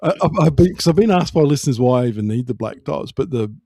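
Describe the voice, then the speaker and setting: A middle-aged man co-hosts a casual, bantering podcast. His voice sits at a medium pitch and sounds dry, with no room around it. He is wry and tends to repeat words as he builds a point. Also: lively intonation, fast, dark tone, no echo. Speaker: podcast co-host.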